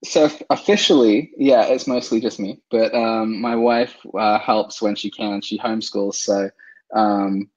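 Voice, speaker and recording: A man from England, a guest in a casual interview, talking with many fillers and soft laughs. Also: lowish voice, steady tone, measured pace, highs cut off. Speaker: interview guest, online seller.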